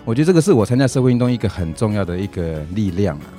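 A man's voice: lowish voice, sing-song, racing, mellow. A man with a Taiwanese Mandarin accent in a casual interview as an activist guest, speaking earnestly and repeating himself for emphasis. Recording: music playing behind the voice.

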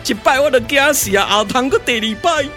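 A man's voice: very high-pitched, expressive, brisk, pushed and bright, heavily acted. A man with a Taiwanese accent voicing a blustering, cowardly, stammering foreign commander in a theatrical puppet radio drama. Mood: grovelling. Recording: music under the voice.

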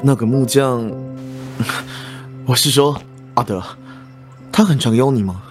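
A policeman played by a male actor in an audio drama, speaking at a middling pitch. He is guarded and smitten, with a jealous edge, and music plays clearly behind him. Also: slow pace, close to an everyday voice. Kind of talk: Chinese audio drama acting.

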